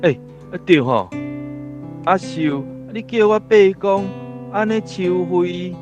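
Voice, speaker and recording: An actor playing a genial, respected old great-uncle in a radio drama, with a Taiwanese accent and a hearty, easygoing manner. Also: male voice, sits high, animated, slow, lo-fi sound, music in the background. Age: older.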